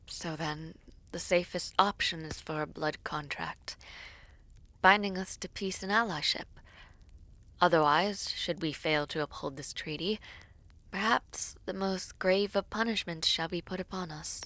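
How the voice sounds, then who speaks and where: A woman playing a composed young princess in a fantasy audio roleplay, speaking in formal, deliberate, stately phrases. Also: on the low side, a bit bright, dry recording. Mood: grave. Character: composed young princess negotiating a peace treaty, regal and measured.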